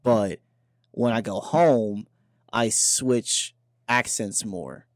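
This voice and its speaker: A young man from Memphis, a podcast guest, talking in a relaxed, deliberate way with joking asides. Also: lowish voice, no echo, clean studio sound. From Memphis, USA. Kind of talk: casual podcast chat.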